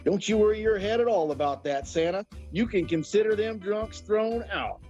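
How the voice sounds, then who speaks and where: A man's voice: high voice, normal speed, highs cut off, over music, close to an everyday voice. A man playing a blustering, plain-spoken American restaurant owner with a folksy, bossy manner in an audio drama. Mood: hearty, eager. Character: gruff, folksy male steakhouse owner, bossy but big-hearted.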